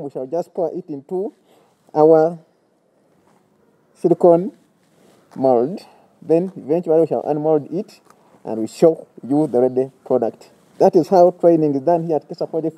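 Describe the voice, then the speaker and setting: A man's voice: on the high side, warm tone, dry recording, low-quality recording. A man in his thirties with a Ugandan accent, teaching a soap-making safety class outdoors and explaining each step. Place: outdoors by a metal gate.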